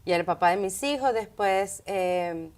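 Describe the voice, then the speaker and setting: A middle-aged woman from Caracas with a Venezuelan Spanish accent, telling her story in conversational interview answers with halting, thoughtful pauses. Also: mid-range voice. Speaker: interview guest, entrepreneur. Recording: clearly noisy background ambience.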